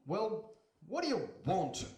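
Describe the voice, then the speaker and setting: A man's voice: sits high, big pitch swings, slow pace, a little mellow, heavily acted. A man playing a sceptical, grumbling poor fisherman in a comic audio story, bemused and easily exasperated, with room echo. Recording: roomy echo, faint noise.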